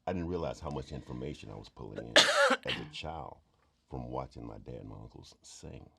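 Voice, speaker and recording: Very deep gravelly-voiced man. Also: brisk. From Arkansas. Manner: animated storytelling with sudden loud outbursts. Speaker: musician guest. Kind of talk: casual chat.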